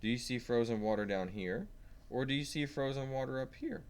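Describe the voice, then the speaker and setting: A man's voice: mid-range voice, a little fast. A man reading a science lesson aloud to young children, stressing key words and asking simple questions as he goes. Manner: simple questions and prompts to the listener.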